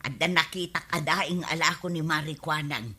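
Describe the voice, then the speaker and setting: A woman's voice: low voice, steady tone, normal speed, pushed and bright, slightly rough, exaggerated voice. A woman with an Ilocano accent playing a defensive village housewife in a radio comedy drama, argumentative and exclamatory. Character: defensive, sharp-tongued village housewife in debt.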